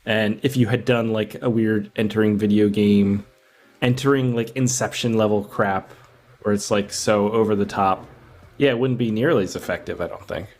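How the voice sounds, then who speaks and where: A man with a slightly low voice co-hosts a film podcast. His delivery is informal and conversational, like casual discussion between co-hosts. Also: steady tone, moderate pace, darkish tone. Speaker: podcast co-host.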